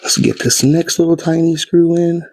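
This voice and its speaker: A man's voice: a little high, little pitch movement, moderate pace, a little mellow. A man narrating a how-to build in a laid-back, folksy way while he works, sniffly from a slight cold. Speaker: guitar kit builder.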